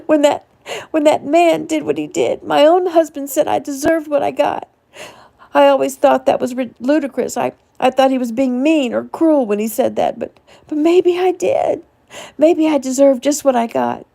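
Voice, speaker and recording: A woman's voice: sits high, moderate pace, creaky, dark tone, pitched above the performer's own voice. A woman voicing a timid, distraught, self-blaming character in a story, stammering and tearfully repeating her words. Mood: tearful despair.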